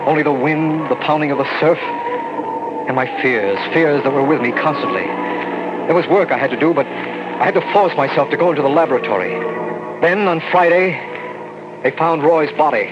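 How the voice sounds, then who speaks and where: An actor playing a frightened radar officer who stammers and narrates in a haunted way, in 1940s radio acting style. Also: male voice, a little high, normal speed, long pauses, heavy background noise, natural voice. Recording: dull old radio recording with music.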